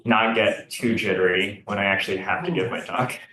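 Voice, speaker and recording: A man's voice: lowish voice, fairly quick, sharp tone. A man in a seminar describing how he handles stage fright, speaking hesitantly with lots of fillers. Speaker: seminar participant. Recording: echoey, distant mic.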